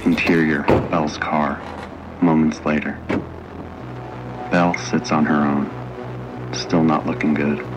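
A man with an extremely low voice narrates a scripted audio drama. He is terse and measured, very slow, with loud music behind him. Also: flat delivery, poor audio. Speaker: narrator reading screenplay action lines.

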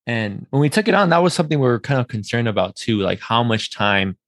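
A man's voice: medium pitch, very animated, fast, no echo, studio quality. A man hosting a podcast interview in a casual, talkative and affirming way. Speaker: podcast host.

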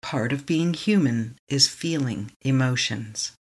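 Meditation guide, middle pitch, slow, calm, short repeated affirmations. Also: slightly rough.

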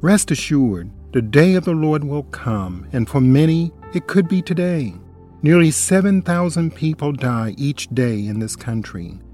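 A man's voice: medium pitch, darkish tone. A man hosting a radio Bible study, earnestly reading scripture and posing questions to the listener.

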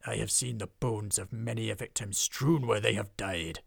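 A man voicing a fierce, burly male dwarf troll slayer who speaks slowly and menacingly. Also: lowish voice, steady tone, dry recording, studio quality, heavily acted. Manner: gruff, slow and menacing.

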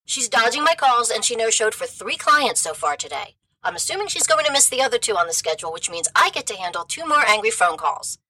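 A woman playing a brisk, irritated cleaning company boss in an audio drama, curt and businesslike. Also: a little high, sing-song, bright, forceful tone, no echo, exaggerated voice, poor audio. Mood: fed up.

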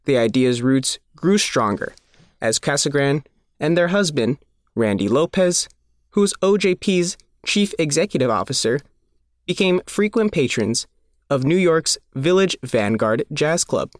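A man narrates a scripted feature story as a reporter. His voice sits a little high and moves with animated intonation. The sound is very dry and close. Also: measured pace, no echo. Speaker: reporter reading their own article.

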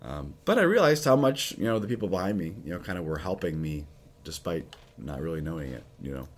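Priest host, deep raspy voice, loose and chatty. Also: male voice, fairly quick. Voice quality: low, husky. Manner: relaxed, rambling with many fillers. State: raspy, recovering voice. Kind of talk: casual chat.

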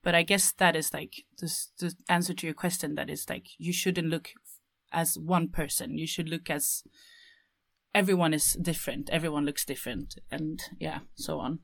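Young Swedish woman, low voice. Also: steady tone, moderate pace, creaky. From Sweden. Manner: hesitant, many "like" fillers. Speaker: guest, textile design student. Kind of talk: casual chat.

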